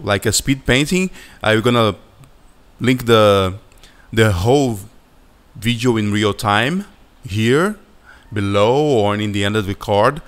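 Male animator host, Brazilian Portuguese accent, unhurried, smooth voice, friendly and halting. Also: medium pitch, fairly bright tone. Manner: slow and halting, searching for words, friendly. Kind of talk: casual vlog chat over a screen recording.

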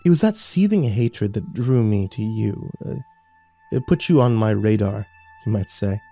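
A man voicing a cruel, mocking fallen angel who taunts with a smug, soft tone that can snap into screeching. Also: on the low side, expressive, moderate pace, faint music behind. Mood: silky. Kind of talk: audio drama storytelling.

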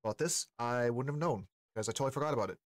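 A man's voice: mid-range voice, rapid-fire, dry recording. A man in his forties giving casual, slangy reaction commentary to camera as a host. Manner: slangy, informal. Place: small indoor streaming room.

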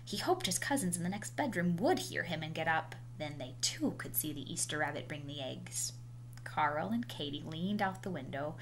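A woman reading a children's picture book aloud with animated, dramatic emphasis and drawn-out words. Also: low voice. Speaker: storybook reader. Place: indoors, small room at home. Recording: noisy home video recording.

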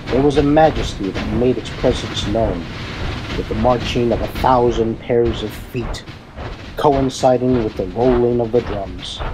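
A man reading a fan-fiction story aloud as narrator, in a dramatic, deliberate storytelling manner. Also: medium pitch, background noise.

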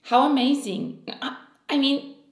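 A woman in her thirties from Switzerland, Croatian by first language, gushing excitedly through a beauty unboxing to camera at home. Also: sits high, measured pace, vocal fry, reverberant. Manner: excitable, gushing, with sighs and small laughs. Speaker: beauty vlogger. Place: home room, indoors.

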